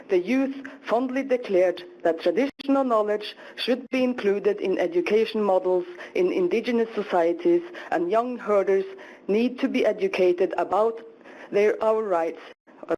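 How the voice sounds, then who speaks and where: A woman in her forties from northern Norway with a Norwegian accent, giving earnest, measured prepared remarks as a panelist at a conference. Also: medium pitch, dark tone. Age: 40s. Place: conference hall. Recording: dull lo-fi conference recording.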